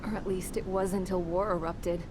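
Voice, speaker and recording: A woman's voice: fairly low pitch, steady tone, normal speed, background noise, lightly acted. A woman playing a tough, pragmatic soldier with a blunt, sardonic manner in scripted game drama. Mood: wry, matter-of-fact. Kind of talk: scripted dramatic dialogue and narration.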